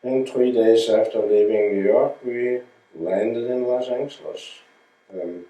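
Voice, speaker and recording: A man's voice: medium pitch, mellow, roomy sound, recorded from a distance, lo-fi sound. An older man from Denmark recalling his life in a home oral history interview, telling it plainly and correcting himself as he goes. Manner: slow, plain storytelling with restarts and self-corrections.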